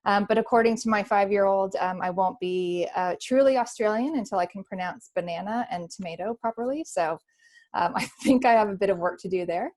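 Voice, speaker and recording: A friendly woman in her thirties with a Californian American accent speaks over a video call, at an ordinary pitch. She introduces herself to a webinar panel with plenty of "um" fillers and an easy, open manner. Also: a little fast, darkish tone.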